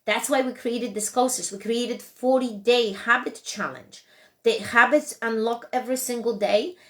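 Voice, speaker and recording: A woman's voice: on the high side, a little slow. A woman with a Polish accent, a non-native English speaker, giving an earnest motivational talk to viewers of a live stream, restarting words and repeating phrases. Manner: earnest, repetitive, frequent restarts. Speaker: host and coach.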